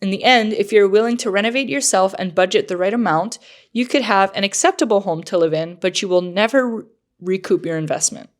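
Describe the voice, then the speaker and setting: A woman's voice: medium pitch, fairly quick. A woman co-hosting a podcast in casual chat, slightly nasal and full of fillers. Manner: chatty, lots of fillers and likes. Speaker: podcast co-host.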